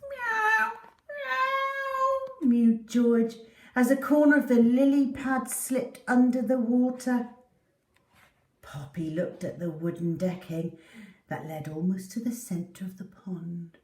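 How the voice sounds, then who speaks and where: Lady doing kids story time, takes it slow n dramatic, roomy echo. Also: on the high side, steady tone, mellow, recorded from a distance. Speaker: children's storyteller. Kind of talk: animated children's storybook narration. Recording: echoey room.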